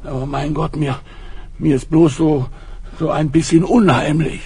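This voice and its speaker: Ein Mann spielt in einem Kinderhörspiel einen furchtsamen Wachmann, der nervös stammelt. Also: male voice, on the high side, steady tone, unhurried, warm tone, slightly rough. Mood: anxious. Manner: nervous, stammering. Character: timid, frightened male night watchman. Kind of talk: children's audio play acting.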